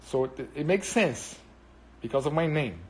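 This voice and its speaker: A Brazilian man speaks English with a Brazilian Portuguese accent, Portuguese being his first language. He chats loosely through a live stream, answering viewers as thoughts come to him. He rambles and pauses often, filling gaps with plenty of hesitations. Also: fairly high pitch, lively intonation, a little mellow, a little hiss or hum. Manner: rambling, hesitant with many fillers. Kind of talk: casual live-stream chat.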